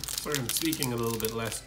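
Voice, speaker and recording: A hoarse, croaky man hosting his card-opening channel in casual chat, often clearing his throat and pausing with fillers. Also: mid-range voice, echoey room, far from the mic, very noisy. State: croaky, hoarse from screaming at a game. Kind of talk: casual unboxing commentary. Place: indoor room with collectibles on shelves.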